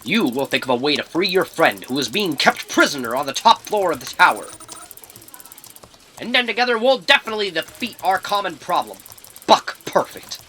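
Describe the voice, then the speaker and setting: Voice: medium pitch, very animated, normal speed, bright, forceful tone, a little hiss or hum. An actor voicing a defiant rebel leader bird with an emphatic, declamatory delivery in a comic dub. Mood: rallying. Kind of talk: amateur comic dub acting.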